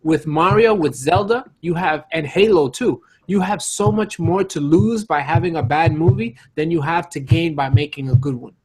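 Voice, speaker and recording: A man's voice: fairly high pitch, moderate pace, few pauses, a little mellow, slight background noise, poor audio. A man in a casual panel debate, arguing insistently and repeating his phrases as he makes his points. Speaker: podcast panelist.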